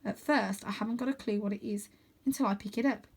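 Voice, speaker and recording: A woman reading a children's adventure book aloud as storyteller, with expressive, dramatic emphasis. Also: mid-range voice, fast talker, breathy edge.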